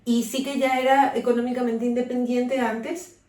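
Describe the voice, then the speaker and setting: A woman's voice: fairly high pitch, little pitch movement, normal speed, dark tone. A woman in her twenties with a Paraguayan accent, co-hosting a podcast in a casual, conversational way. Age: 20s. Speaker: podcast co-host. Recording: roomy echo, distant from the mic.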